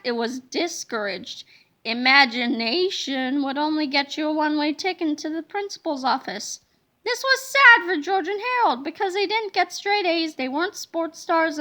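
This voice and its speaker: High, bright-voiced woman reading a children's story. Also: normal speed. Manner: expressive, dramatic storytelling. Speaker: storybook reader. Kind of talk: animated children's book read-aloud.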